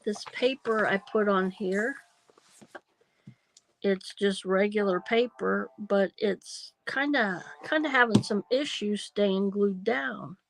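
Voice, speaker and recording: A woman hosting a craft livestream, nasal from a sinus cold, thinking aloud slowly and hesitantly with many ums. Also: medium pitch, creaky, a little hiss or hum, poor audio. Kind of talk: casual craft livestream chat.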